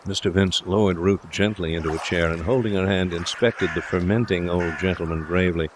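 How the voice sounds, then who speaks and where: A man's voice: deep voice, fairly even intonation, fairly quick, background noise. A man reading a story aloud as an audiobook narrator, in scripted narration.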